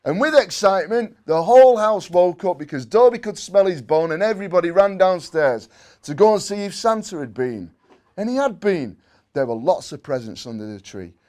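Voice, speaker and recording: A man reading a children's story aloud in an expressive way, with playful asides. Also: fairly high pitch. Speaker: story reader.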